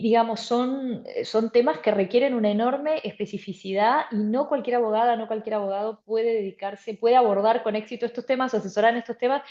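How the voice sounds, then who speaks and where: A woman's voice: mid-range voice, dark tone, highs cut off. A middle-aged woman from Argentina, explaining her work articulately in an interview and repeating phrases for emphasis. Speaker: interviewed bioethicist and law professor.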